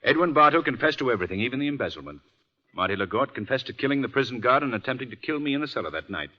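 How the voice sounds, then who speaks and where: An actor playing an assured, urbane gentleman sleuth in a polished 1940s radio drama style. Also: mid-range voice, sing-song, rapid-fire, close to an everyday voice. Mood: assured, pleased. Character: suave, assured gentleman amateur sleuth, adult man. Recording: muffled vintage 1940s radio recording.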